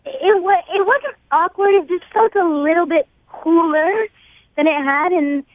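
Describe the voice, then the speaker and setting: A woman's voice: very high-pitched, measured pace, dry recording. A woman calling in to a radio show by phone, casually telling a personal story in a slightly unsure way. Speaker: radio call-in guest. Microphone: phone call. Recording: band-limited phone line.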